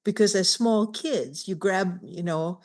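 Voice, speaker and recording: A woman's voice: mid-range voice, unhurried, darkish tone. A woman poet from Malaysia telling a story of her childhood in a reflective way, speaking on a video call. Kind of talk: casual chat.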